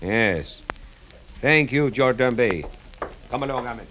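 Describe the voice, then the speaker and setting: A man's voice: medium pitch, animated. Formal Egyptian policeman, faintly noisy recording. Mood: courteous, official. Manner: formal, measured, courteous. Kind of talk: 1950s radio drama acting. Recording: old radio recording, faint noise, clicks.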